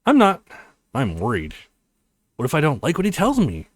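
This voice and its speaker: A man's voice: fairly high pitch, wildly swooping pitch, normal speed, breathy edge, dry recording, heavily acted. A man voicing an earnest, worried young male college student in a visual novel.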